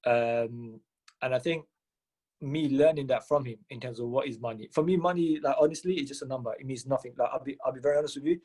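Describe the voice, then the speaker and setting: A young man from Mumbai with a London accent, telling stories as a podcast guest, quick and animated with lots of fillers. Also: mid-range voice, breathy edge. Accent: London English. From Mumbai, India. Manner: fast, animated, with many fillers and restarts. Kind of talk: casual interview storytelling.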